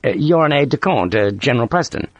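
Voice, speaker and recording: A man voicing a gruff, scarred soldier in an audiobook story, curt, blunt and scornful, with a growl. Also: medium pitch, big pitch swings, fast, no room sound, pitched above the performer's own voice. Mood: puzzled, curt. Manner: curt, blunt, impatient, swearing.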